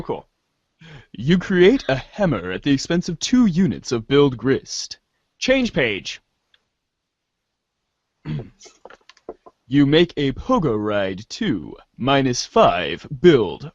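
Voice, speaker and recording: A man's voice: medium pitch, wildly swooping pitch, slow pace, pauses often, no room sound. A man hosting and narrating an amateur reader's theater, in a muffled lo-fi recording. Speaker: host and narrator.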